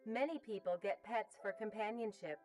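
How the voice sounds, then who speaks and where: A woman playing a calm, informative narrator of a pet-care guide, speaking in a measured, instructional way. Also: medium pitch, distant mic, soft background music, natural voice.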